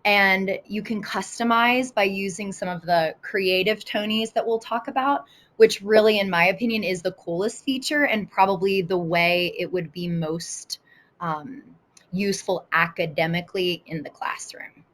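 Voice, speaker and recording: A woman's voice: mid-range voice, normal speed, a bit bright. An American woman with a mid-range voice presents like a teacher in an online session. Her delivery is informal and conversational, as if walking colleagues through a demonstration. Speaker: elementary school teacher presenting a tutorial. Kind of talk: casual webinar demonstration. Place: live online video session.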